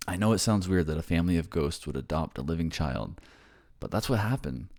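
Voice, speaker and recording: A man's voice: deep voice, animated, a little fast, darkish tone. A man acting in an ASMR roleplay as an earnest, reassuring boyfriend raised by ghosts, with a hesitant manner. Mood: insistent, pleading.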